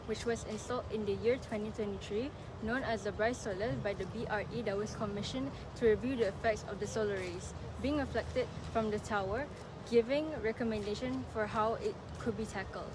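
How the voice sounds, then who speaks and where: A woman reads documentary narration in a mid-range voice. The recording is clearly noisy, with outdoor city ambience and vehicles around her. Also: mid-range voice, fairly even intonation, brisk. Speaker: student documentary presenter. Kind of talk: scripted narration. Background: urban outdoor ambience, vehicles.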